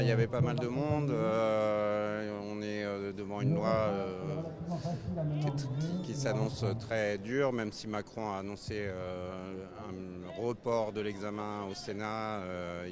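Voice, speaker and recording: A man's voice: lowish voice, fairly even intonation, unhurried, over a noisy crowd. A male activist at a protest answering a street interview, hesitant and full of fillers. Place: outdoor street rally. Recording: loud music behind the voice.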